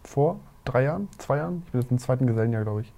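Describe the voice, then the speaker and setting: A man in his twenties chatting casually as a podcast guest, halting and repeating words. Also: medium pitch, expressive, creaky, mellow. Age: 20s. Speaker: podcast guest, young tradesman. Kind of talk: casual chat.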